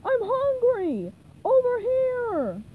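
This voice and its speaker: A woman's voice: high-pitched, sing-song, a little slow, phone-quality sound. A woman voicing a dim, impulsive male cartoon starfish with a blunt, blurting delivery in a storybook reading. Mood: loud, yelling.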